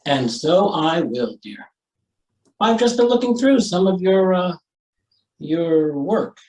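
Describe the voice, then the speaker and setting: A man's voice: high-pitched, expressive, slow pace, darkish tone, far from the mic. Man playing a flustered editor in an echoey room. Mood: placating, dry. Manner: hemming, placating, dry. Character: flustered, placating male newspaper editor, dryly wisecracking. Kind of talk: old-time radio comedy acting. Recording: roomy stage, away from mic.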